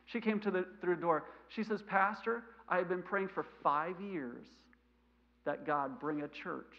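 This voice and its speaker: A man in his thirties with a mild stutter, preaching a sermon in a church sanctuary, animated and gesturing, swinging between loud emphasis and quiet asides. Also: high-pitched, a little slow. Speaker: missionary preacher. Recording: lo-fi church recording.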